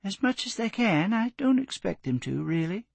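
A man's voice: high-pitched, very animated, moderate pace, vocal fry, breathy edge, dry recording, voice pushed up, heavily acted. A man voicing an elderly, polite, grieving woman in a genteel, plaintive manner, in an audiobook story. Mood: wistful. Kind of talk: audiobook character voice.

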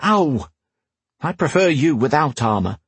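Storybook warrior queen, played by a male narrator: high, husky, teasing, proud, quick to command. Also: big pitch swings, dry recording, full character voice. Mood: playful, teasing. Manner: playful, teasing, regal when commanding.

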